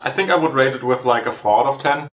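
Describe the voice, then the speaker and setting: A man's voice: medium pitch, quick pace, far from the mic, a little hiss or hum. A young man with a German accent talks through the snacks he is tasting in an easygoing, reflective way. His medium voice sits a bit back from the mic. The room adds a noticeable echo. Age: young adult. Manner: relaxed, reflective, gives frank ratings. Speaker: host. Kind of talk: casual food tasting chat.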